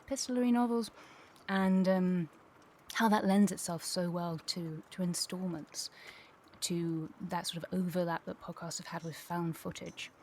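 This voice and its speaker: A woman's voice: lowish voice, steady tone, moderate pace, darkish tone, a little hiss or hum. A woman talking enthusiastically and a little digressively in a conversational panel interview. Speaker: voice actor and tutor.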